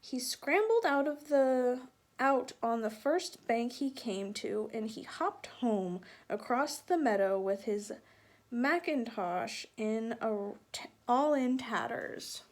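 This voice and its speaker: Higher-voiced woman reading a storybook aloud. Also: takes it slowly. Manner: expressive, with sound-effect emphasis and questions to listeners. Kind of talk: storybook read-aloud with asides to young listeners.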